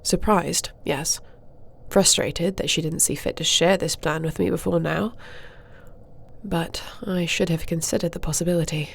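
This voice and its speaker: A woman playing a formal, dutiful dragon-shifter princess in an audio roleplay, speaking in a measured, regal and diplomatic manner. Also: sits low, creaky, a little hiss or hum. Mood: wistful, frustrated. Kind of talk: scripted audio roleplay acting.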